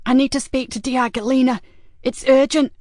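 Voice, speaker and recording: A woman's voice: high voice, fairly even intonation, moderate pace, warm tone, no echo, studio quality, pitched above the performer's own voice, natural voice. A woman voicing a frightened, desperate young woman on the run in an audiobook, pleading urgently and tearfully in an odd manner.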